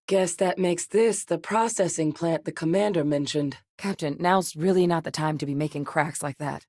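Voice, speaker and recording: A woman's voice: deep voice, darkish tone, dry recording, clean studio sound. An actress voicing a capable female scientist companion in a game, earnest and matter-of-fact. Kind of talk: video game voice lines.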